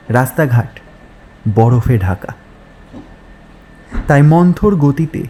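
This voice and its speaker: A man narrating a scripted horror story deliberately, pausing between short phrases. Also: mid-range voice, mellow, a little hiss or hum.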